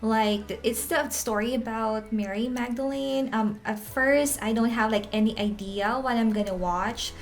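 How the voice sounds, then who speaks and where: A woman in her mid thirties, originally from the Philippines, chatting in a relaxed, unhurried way. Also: a little high, soft background music. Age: 30s. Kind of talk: casual chat.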